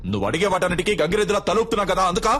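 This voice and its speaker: An actor playing a hot-tempered, sarcastic police officer in a film, speaking heatedly. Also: male voice, high voice, sing-song, very fast, a bit bright, faint music behind. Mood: angry, scornful. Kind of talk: film drama dialogue.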